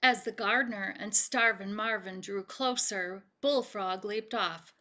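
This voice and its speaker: A woman in her forties reading a children's picture book aloud and explaining it, expressively and with deliberate pauses. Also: medium pitch, pushed and bright. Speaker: read-aloud storyteller. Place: home kitchen, indoors.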